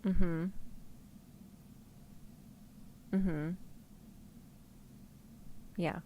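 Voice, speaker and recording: A woman's voice: fairly low pitch, steady tone, drawn-out words, mellow, slight background noise. A woman playing a tender, worried girlfriend in an ASMR roleplay, coaxing and reassuring her partner. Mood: gentle, coaxing. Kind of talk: ASMR roleplay script reading.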